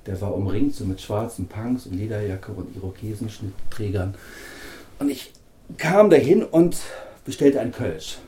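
Dark-voiced interviewer in an echoey room. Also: male voice, on the low side, expressive, a little slow. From northern Germany. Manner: chatty, tells long anecdotes. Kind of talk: casual interview chat. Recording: roomy echo.

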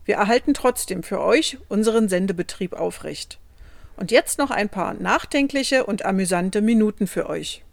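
A woman's voice: mid-range voice, animated, moderate pace, gravelly, dry recording. A woman hosting a radio show, giving a scripted introduction recorded at home.

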